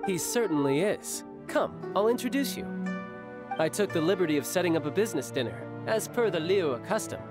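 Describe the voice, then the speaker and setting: A man voicing a young, confident, sly charmer who talks smoothly in a video game. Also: high-pitched, expressive, fairly quick, over music. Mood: breezy, confident. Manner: smooth-talking, self-assured. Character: young charming male harbinger, confident, sly, breezy schemer. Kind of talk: video game character voice acting.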